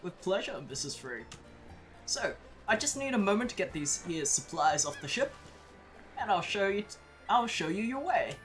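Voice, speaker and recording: A woman's voice: medium pitch, big pitch swings, moderate pace, soft background music, close to an everyday voice. Woman playing a folksy old carpenter man. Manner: homespun drawl with "howdy" and "ain't". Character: folksy older male carpenter, friendly and easygoing.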